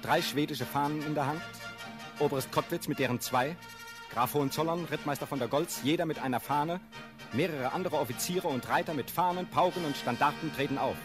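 A man's voice: on the high side, fairly quick. A man reading stage directions as scripted narration for a radio play. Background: instrumental music.

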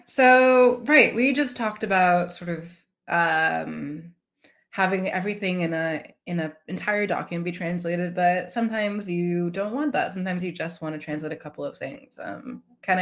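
A woman in her thirties presenting a software demo in a conversational way on a video call. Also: on the low side, lively intonation, normal speed, vocal fry. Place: small indoor room on a video call.

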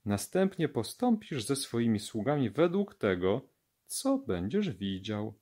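A man reading Bible scripture aloud as a narrator for children, with measured, deliberate enunciation. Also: medium pitch, huge pitch swings, dark tone. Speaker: Bible story reader.

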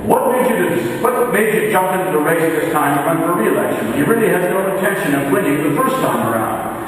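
A man voicing a polished, provocative television political interviewer with a brisk, formal manner in a novel reading. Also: fairly high pitch, animated, natural voice. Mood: polished, welcoming. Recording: very echoey hall, distant mic, faint noise.

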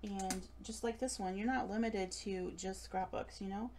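A woman's voice: medium pitch, fairly even intonation, moderate pace, a little mellow, a little hiss or hum. A woman in her late thirties giving a live craft demonstration, chatty and giggly with self-deprecating asides, recorded at a table under an overhead camera. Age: late 30s. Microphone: overhead camera above table.

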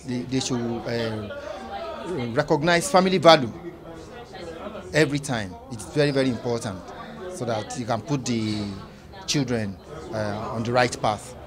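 Man, medium pitch, interview comment, clear crowd noise. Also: unhurried, long pauses.

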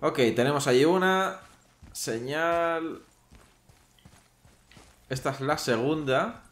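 A man's voice: a little high, takes it slowly, fairly bright tone. A man giving casual commentary while playing a video game, chatting to his viewers. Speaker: gameplay streamer. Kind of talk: casual let's-play commentary. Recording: roomy with faint noise.

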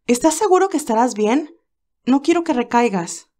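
A woman doing a bright, fretful young nurse. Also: high-pitched, normal speed, voice pushed up. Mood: worried. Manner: lively, hesitant, girlish.

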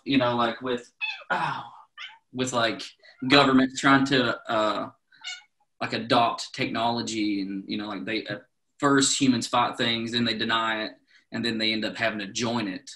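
A man's voice: mid-range voice, fairly even intonation, unhurried, sharp tone. A man hosting a casual podcast, laid-back and slangy, calling people dude. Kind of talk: casual chat.

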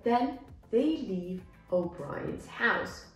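Animated young woman teacher in echoey room. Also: on the high side. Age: young adult. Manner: deliberate, demonstrative enunciation for learners. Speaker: English teacher. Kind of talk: story reading with simple explanations for learners. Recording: echoey room, music behind.

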